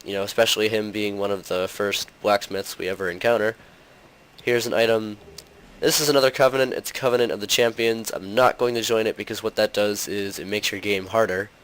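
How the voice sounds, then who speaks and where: A young man hosting a gaming channel, talking through gameplay in a casual, rambling way full of fillers, his voice slightly hoarse from a cold. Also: lowish voice, fairly even intonation, brisk, fairly bright tone, low-quality recording.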